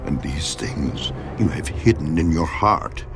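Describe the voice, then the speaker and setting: An actor playing an anguished, despairing man who pleads bitterly with God, theatrical in a dramatised scripture reading, swinging from hushed tones to crying out. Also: a bass voice, animated, a little slow, gravelly, soft background music, exaggerated voice. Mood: bitter pleading.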